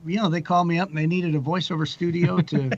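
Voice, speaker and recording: Higher-voiced middle-aged man, slightly dull audio. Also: little pitch movement, fast. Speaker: guest, audio drama producer. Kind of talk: casual anecdote telling.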